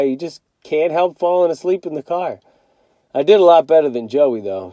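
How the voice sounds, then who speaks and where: Male storybook narrator, teacherly and expressive, slightly high mellow voice, close dry sound. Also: no echo. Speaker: teacher reading to students.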